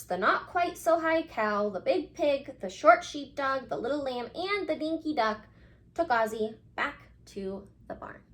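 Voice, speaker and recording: A woman with a fairly high voice and very lively intonation hosts a read-aloud for kids. She speaks straight to the children in a teacherly way, leaning on key words for emphasis. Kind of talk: expressive storybook reading and talk to children.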